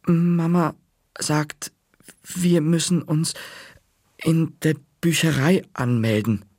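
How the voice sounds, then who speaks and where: A man voicing a scared, excited young boy who shouts and stammers in a high voice. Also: slow, no echo, clean studio sound. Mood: urgent.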